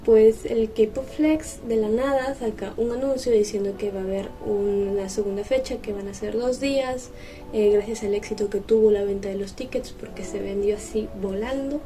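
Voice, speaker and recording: Mellow-voiced woman vlogging, recorded at a distance. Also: mid-range voice, normal speed. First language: Spanish. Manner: conversational, explanatory. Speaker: vlogger, host. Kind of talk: casual chat to camera. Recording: distant mic with music behind.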